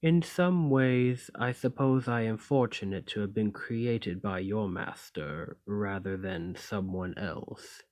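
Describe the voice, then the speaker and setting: A man playing an imprisoned clay golem in an ASMR roleplay, polite and learned, speaking in formal, deliberate phrases. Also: fairly low pitch, darkish tone. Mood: somber, reserved. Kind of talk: scripted ASMR roleplay acting.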